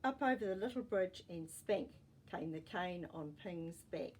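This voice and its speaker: A woman storyteller reading a children's story expressively, with deliberate pauses and dramatic emphasis. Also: fairly low pitch, animated, a little mellow, slight background noise. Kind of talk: expressive storybook narration with asides to young listeners.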